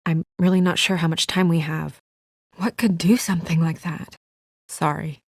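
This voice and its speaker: A serious young female official in a video game, her voice on the low side and recorded very dry. She is businesslike but anxious, earnest and guarded, and grows hesitant under stress. The delivery stays naturalistic. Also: steady tone, moderate pace, no echo. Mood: worried, subdued.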